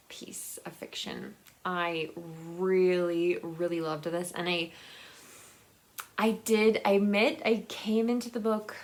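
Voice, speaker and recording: A woman's voice: lowish voice, vocal fry, sharp tone. A woman chatting casually and enthusiastically to viewers in a rambling, conversational book vlog. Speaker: book vlogger.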